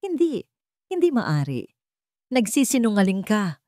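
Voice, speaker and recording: A woman's voice: mid-range voice, big pitch swings, a little slow, a little mellow, no echo, voice pushed up. Woman acting shaken young woman, trembling, distrustful, pleading, radio drama, dry sound. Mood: shaken disbelief. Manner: trembling, weak. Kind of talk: Tagalog radio drama storytelling.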